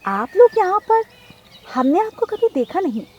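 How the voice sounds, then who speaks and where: A woman's voice: extremely high pitch, sing-song, moderate pace, lo-fi sound, pitched above the performer's own voice. A woman voicing a polite, loyal female butterfly in a cartoon story, with a courteous, deferential manner.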